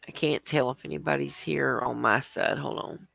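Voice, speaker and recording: Stuffy woman with a gravelly voice. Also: rumbling low, steady tone, moderate pace, no room sound. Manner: chatty, rambling, greets viewers, soft laughs. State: stuffy, congested. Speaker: livestream host. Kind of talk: casual livestream chat.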